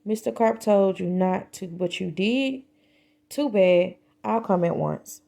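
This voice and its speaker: Male cop for a picture book, read by a woman, helpful, brisk, exclamatory, mid to high pitch. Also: creaky, dark tone. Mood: brisk, surprised. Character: brisk, helpful male policeman in a children's story.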